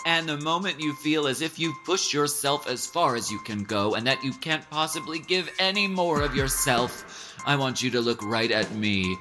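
Woman as low, grandiose, bitchy drag show host. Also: music in the background. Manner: theatrical, drawn-out announcing. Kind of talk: parody reality-show hosting in radio play.

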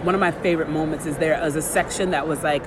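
A woman's voice: low-pitched, fairly even intonation, fast talker. A woman being interviewed as an author, telling stories casually and emphatically, acting out what people said. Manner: acts out quoted dialogue, emphatic. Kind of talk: animated casual storytelling. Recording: crowd chatter and clear urban background noise.

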